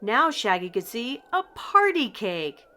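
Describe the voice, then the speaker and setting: An older woman reading a children's picture book aloud in an expressive, deliberate storytelling manner. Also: fairly high pitch, noisy background. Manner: slow, deliberate, animated delivery for children. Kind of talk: expressive scripted read-aloud narration.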